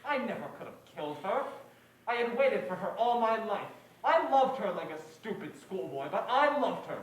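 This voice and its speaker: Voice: mid-range voice, big pitch swings, moderate pace, close to an everyday voice. A performer acting a worn-out, lovesick male gumshoe, earnest and dramatic in a hardboiled noir stage play. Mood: confessional, lovesick. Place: indoor stage, heavy room echo. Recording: very echoey stage recording.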